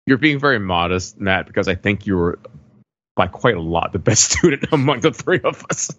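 A man's voice: on the low side, lively intonation, moderate pace, a bit bright, dry recording, muffled recording. A man in his forties chatting casually as a podcast panelist. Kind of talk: casual chat.

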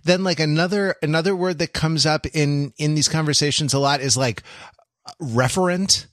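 Male podcast co-host, bright and forward, slightly high, dry sound, academic and halting. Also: dry recording. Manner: halting, academic, thinking aloud. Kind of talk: casual analytical discussion.

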